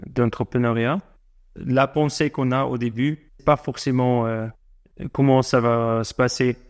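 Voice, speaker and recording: A man's voice: mid-range voice, fairly even intonation, measured pace. Hesitant South African-raised man in his forties. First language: not French. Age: 40s. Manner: hesitant, many fillers and restarts. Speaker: guest entrepreneur. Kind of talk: interview answers.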